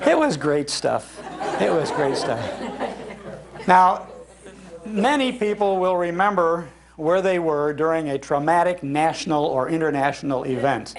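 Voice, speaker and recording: Older Midwestern man with a creaky voice. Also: a little high, unhurried, a faint crowd. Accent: American Midwestern. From Chicago, United States. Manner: folksy, animated, chuckling, repeats phrases for emphasis. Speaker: speaker giving a talk on old-time radio. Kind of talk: nostalgic storytelling to a live audience. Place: public library, live talk.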